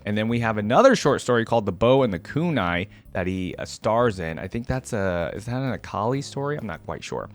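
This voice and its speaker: A man giving casual, informal reaction commentary, musing in a laid-back way with slangy asides. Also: fairly low pitch. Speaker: video creator reacting to game voice lines.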